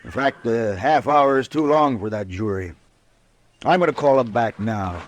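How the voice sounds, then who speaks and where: Actor as a pompous, hard-drinking frontier judge. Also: male voice, mid-range voice, lively intonation, normal speed, slight background noise, natural voice. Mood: smug, genial. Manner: folksy but pompous and peremptory. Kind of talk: 1940s-50s radio western acting.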